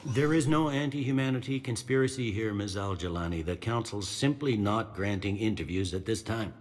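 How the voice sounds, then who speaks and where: Gruff, blunt male cop, acted, world-weary, deep pitch, sci-fi game, faint music. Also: moderate pace, close to an everyday voice. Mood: irritated, dismissive. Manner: blunt, colloquial. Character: gruff, jaded human police officer in a sci-fi game.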